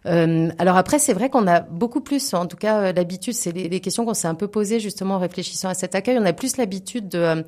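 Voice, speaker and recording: A woman's voice: medium pitch, quick pace, few pauses, a little mellow. A woman speaking as a theatre guest in a spontaneous interview, earnest and emphatic while often searching for words. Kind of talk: spontaneous radio interview conversation.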